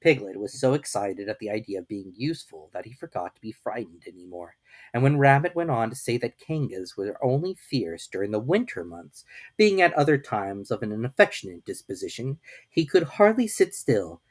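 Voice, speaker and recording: A woman reading a children's story as a dramatic narrator, expressive and measured. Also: rumbling low.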